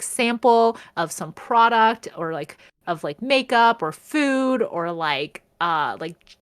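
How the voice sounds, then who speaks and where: A young woman talks casually as a podcast co-host. Her voice sits at an average pitch for a woman. The sound is dry, with very little room in it. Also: a bit bright, no echo. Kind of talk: casual chat.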